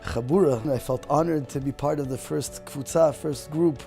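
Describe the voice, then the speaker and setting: A man's voice: a little high, fairly even intonation, normal speed, creaky, warm tone. A man from Canada who grew up speaking Spanish at home, telling his story in a testimonial. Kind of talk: promotional video testimonial. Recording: music playing behind the voice.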